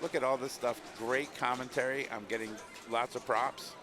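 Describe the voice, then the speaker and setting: A man's voice: mid-range voice, steady tone, moderate pace. A middle-aged man from Long Island, hosting a live stream in a chatty, upbeat way, reading chat messages and ads. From Long Island, New York. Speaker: stream host. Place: tournament hall. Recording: noisy crowd in the background.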